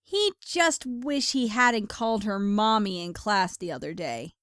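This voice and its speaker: A woman's voice: mid-range voice, lively intonation, measured pace, fairly bright tone, clear, resonant tone, no echo, clean studio sound. A woman reading scripted story narration as the narrator of an audio drama.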